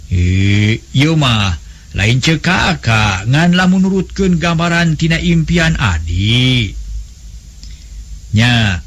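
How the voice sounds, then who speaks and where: A man voicing a calm, patient elder brother, a wise nobleman with a Sundanese accent, soothing and advising in a storybook tale. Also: mid-range voice, slow, slightly rough, exaggerated voice.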